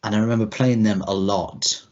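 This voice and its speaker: Man, thirties, lower-pitched voice, podcast co-host, loose conversational rambling, muffled lo-fi recording. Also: steady tone, normal speed, a little mellow. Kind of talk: casual chat. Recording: dull lo-fi podcast audio.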